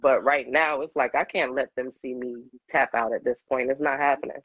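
A woman in her forties, a singer-songwriter guest with a deep voice, chatting animatedly and laughing often in an interview over a video call. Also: steady tone, brisk, no room sound, lo-fi sound. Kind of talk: casual interview chat. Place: home room, video call. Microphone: webcam on video call.

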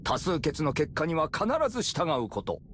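An actor playing a middle-aged leader of a hunter party, measured and authoritative, in a game cutscene style. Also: male voice, on the high side, big pitch swings, noisy background. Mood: serious, deliberate. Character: commanding, deliberate male leader of a hunter party. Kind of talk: Japanese game cutscene voice acting. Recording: music behind the voice.

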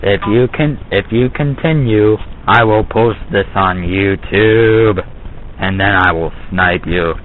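A man putting on a menacing Russian mafia man for a prank phone call, making flat, slow, deadpan threats over a tinny phone line. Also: fairly low pitch. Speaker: prank caller.

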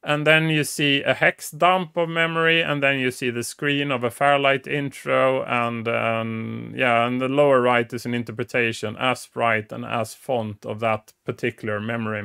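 A man's voice: mid-range voice, creaky, a bit bright, clean tone. A middle-aged man whose first language is Swedish, hosting a casual technical explainer with frequent restarts and fillers, speaking into a headset. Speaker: show host. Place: small dim room indoors.

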